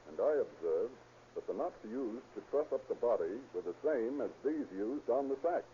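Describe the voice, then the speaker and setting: An actor playing a shrewd, sardonic police captain who questions with dry irony in 1930s radio drama style. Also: male voice, mid-range voice, animated, moderate pace, mellow, lightly acted. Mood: thoughtful. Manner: probing, dryly ironic questioning. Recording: scratchy, muffled 1930s radio recording, hiss.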